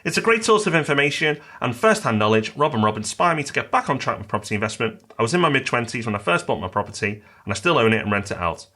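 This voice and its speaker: Bright-voiced British podcast co-host. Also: male voice, medium pitch, sing-song, fast talker, few pauses. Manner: upbeat, promotional, reads a listener review aloud. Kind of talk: casual podcast chat.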